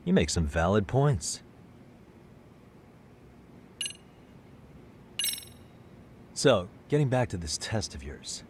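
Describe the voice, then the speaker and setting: A man's voice: fairly low pitch, very animated, moderate pace, noisy background. An actor playing an easygoing adult male private detective in a video game dub, casual and dryly understated. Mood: wry. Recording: music under the dialogue. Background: music.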